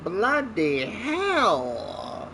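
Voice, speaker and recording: Animated, slow-paced gamer commentator. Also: medium pitch, gravelly, background noise. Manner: exclamatory, muttering, swearing reactions. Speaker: gamer reacting while playing. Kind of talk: casual gameplay commentary.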